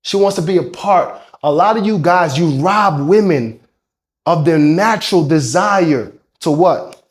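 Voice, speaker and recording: A man's voice: a little high, animated, unhurried. A man with a congested voice from a cold, coaching men in an emphatic, preachy talk that swears freely. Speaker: dating coach. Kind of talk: motivational talk to camera.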